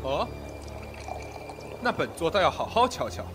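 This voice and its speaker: Man acting a high-voiced, imperious sect master. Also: sing-song, a little slow, music in the background. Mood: haughty, commanding. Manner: imperious, intense. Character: commanding, proud young male sect master, quick to alarm. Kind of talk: Chinese period audio drama acting.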